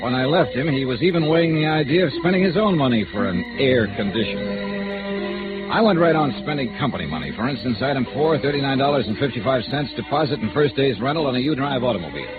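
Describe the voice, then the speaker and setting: An actor playing a wry, unflappable insurance investigator in 1950s radio noir, with an American accent and punchy, hard-boiled delivery. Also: male voice, mid-range voice, lively intonation, fast talker, over loud music, lightly acted. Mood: wry narration. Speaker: narrator and lead. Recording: narrow vintage radio recording.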